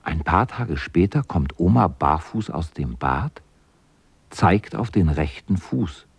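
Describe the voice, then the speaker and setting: An actor narrating a children's radio play as a plain-spoken storyteller with a husky voice. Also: male voice, deep voice, expressive, slow, no echo, exaggerated voice. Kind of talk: scripted narration in a radio drama.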